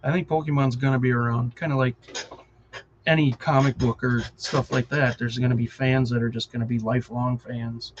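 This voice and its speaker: A man's voice: medium pitch, little pitch movement, a little fast, dull audio, a little hiss or hum. A middle-aged man with a Wisconsin accent, chatting casually and rambling on a live stream, often distracted and chuckling.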